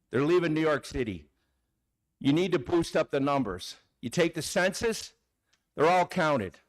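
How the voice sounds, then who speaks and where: Confrontational man at a town hall podium. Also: fairly high pitch. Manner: confrontational, haranguing. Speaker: public commenter. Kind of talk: public hearing comment. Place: town hall meeting room.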